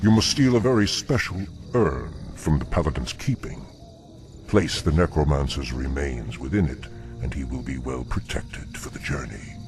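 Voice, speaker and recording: A sly demon overlord from a fantasy game. The voice is extremely low and gravelly, measured and commanding, with smooth menace over clear background music. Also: male voice, lively intonation. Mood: stern, impatient. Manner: slow, deliberate, smooth-talking menace. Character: male demon dreadlord, calm, sly, commanding. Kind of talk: video game villain acting. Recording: music behind the voice.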